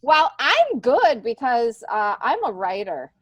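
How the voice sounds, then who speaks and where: A middle-aged American woman chatting casually as an interview guest, talkative and quick to laugh. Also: on the high side, wildly swooping pitch, no echo. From upstate New York, United States. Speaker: podcast guest, stand-up comedian and writer.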